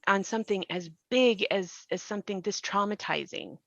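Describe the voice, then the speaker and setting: A woman's voice: medium pitch, moderate pace, vocal fry, no room sound, muffled recording. A woman in an earnest explanatory discussion, emphatic and given to rhetorical questions. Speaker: podcast creator and actor discussing the show.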